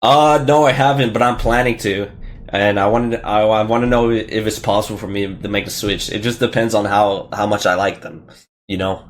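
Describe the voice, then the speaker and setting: A man's voice: fairly low pitch, fairly even intonation, normal speed, slight background noise. A young adult man chatting casually as a host answering questions, in an informal, slangy and somewhat hesitant way. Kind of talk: casual chat.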